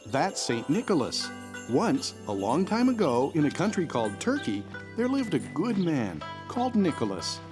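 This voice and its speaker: Gentle cartoon dad, soothing n patient, voice swoops a lot. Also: male voice, on the high side, wildly swooping pitch, moderate pace, over music. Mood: storytelling. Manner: soothing, encouraging. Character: gentle, patient young father at bedtime. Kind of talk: children's cartoon acting, storybook telling.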